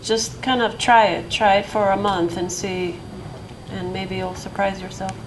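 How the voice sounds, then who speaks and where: A woman speaks on a panel in a level middle voice, pausing and restarting as she works through her thoughts. The recording carries steady room noise. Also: mid-range voice. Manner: hesitant, full of restarts. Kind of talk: panel discussion. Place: lecture hall.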